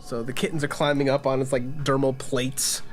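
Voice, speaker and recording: A man's voice: medium pitch, level tone, normal speed, slight background noise. A man running a tabletop game as game master, telling the story in a casual, improvised way.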